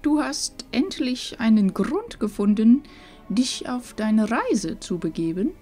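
Woman doing a wise old village granny for a fantasy radio play, slow, lilting up and down, music behind. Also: fairly high pitch, sing-song, warm tone, exaggerated voice. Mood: knowing, gentle. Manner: measured, knowing. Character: wise old village grandmother, calm, knowing, gently probing. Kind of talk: fantasy audio drama acting.

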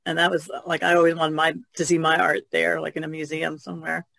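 A woman's voice: sits low, completely flat delivery, fast, creaky, a bit bright, no room sound. A woman artist chatting informally on a podcast, tentative in how she puts things. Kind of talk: casual podcast chat.

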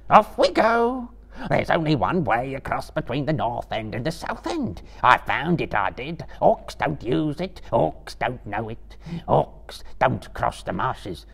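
A man voicing a cringing, fawning creature in a dramatic book reading, hoarse and whining, with pleading, repetitive speech. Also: medium pitch, sing-song, unhurried, a bit bright, voice pushed up, exaggerated voice. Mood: eager, anxious. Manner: pleading, repetitive, broken third-person speech.

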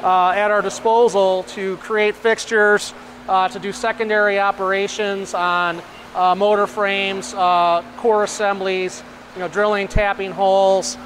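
A man's voice: high voice, flat delivery, unhurried, fairly bright tone, a little hiss or hum, low-quality recording. A middle-aged man explaining processes on a factory tour, matter-of-fact, with frequent ums and uhs, recorded on a lapel mic in a large machine shop. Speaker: company manager giving a shop tour.

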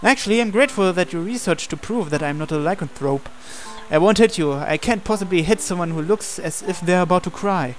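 A man's voice: sits high, a little fast, hardly any pauses. A man reading visual novel dialogue and narration aloud as the male protagonist, with game music behind him. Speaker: gameplay reader voicing the male protagonist. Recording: music playing under the voice.

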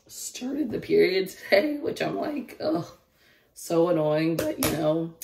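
Young woman, twenties, hoarse from losing her voice; casual rambling vlogger, slightly low pitch, camera held at arm's length. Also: big pitch swings, takes it slowly, a little mellow, reverberant, far from the mic, a little hiss or hum. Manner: rambling, lots of "like" and "I don't know". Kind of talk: casual vlog chat. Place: car, bedroom and outdoor park. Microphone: phone or camera held at arm's length.

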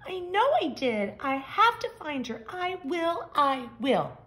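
A woman's voice: sits high, big pitch swings, slow, fairly bright tone, voice pushed up, full character voice. A woman voicing a small lost baby bird in a storybook, earnest, anxious and pleading like a young child. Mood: insistent.

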